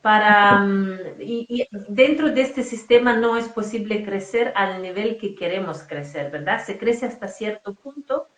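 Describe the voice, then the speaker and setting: A woman's voice: medium pitch, unhurried, few pauses. Effusive woman host in an echoey room. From Galicia, Spain. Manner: effusive, enthusiastic, spiritual talk. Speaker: host, coach. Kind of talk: casual interview conversation.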